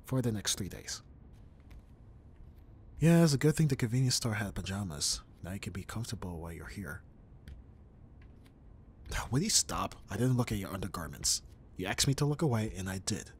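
A man's voice: on the low side, slight background noise, natural voice. A young man acting as an easygoing, teasing best friend in a casual, intimate ASMR roleplay. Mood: playful.

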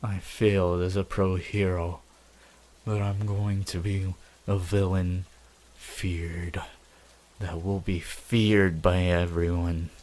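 Young villain, man acting, low register, unhurried and menacing, possessive, grandiose, ASMR roleplay monologue. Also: little pitch movement, darkish tone. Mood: possessive, hypnotic. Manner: slow, deliberate, gloating, with dramatic pauses. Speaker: voice actor.

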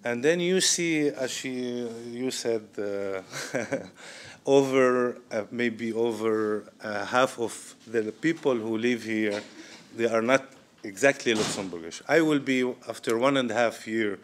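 A man in his thirties with a Syrian Arabic accent, giving an informal talk to an audience in halting English full of pauses and fillers, recorded by a distant camera in an event room. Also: medium pitch. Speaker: guest speaker, restaurant owner. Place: medium indoor event room with diners. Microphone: far camera, no mic.

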